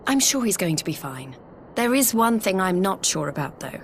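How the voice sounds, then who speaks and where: A woman's voice: mid-range voice, very animated, normal speed, a little hiss or hum. A woman playing an earnest, plainspoken young woman fighter in a video game cutscene. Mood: concerned, earnest.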